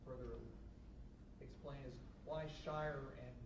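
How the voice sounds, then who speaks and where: A man's voice: mid-range voice, fairly even intonation, slow. A man asking an informal question from the audience at an indoor meeting. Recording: echoey room with clear background noise.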